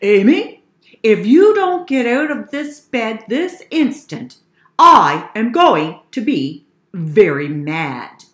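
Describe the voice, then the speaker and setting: A stern storybook dad, acted out by a woman, scolding and exasperated. The words come out loud and emphatic in an echoey room. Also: on the high side, big pitch swings, slow. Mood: stern, angry. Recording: roomy, echoey indoor sound.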